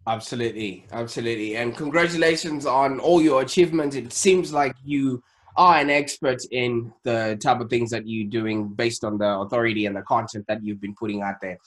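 A man's voice: mid-range voice, fairly quick. A man in his thirties presenting an online interview show in an enthusiastic, wordy and emphatic way. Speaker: show host. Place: small home office, indoors. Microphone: desk microphone.

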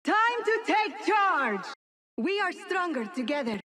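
An actress playing a fierce, confident video game heroine, exclaiming commands. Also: female voice, very high-pitched, big pitch swings, slow pace, a crowd in the background, full character voice. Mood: commanding. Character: fierce, confident heroine rallying allies in battle.

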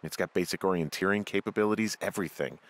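An actor with an American accent playing a wary, level-headed fire lookout in a video game, hesitant and conversational. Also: male voice, low-pitched, fast, close to an everyday voice. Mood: matter-of-fact. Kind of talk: naturalistic video game dialogue over walkie-talkie.

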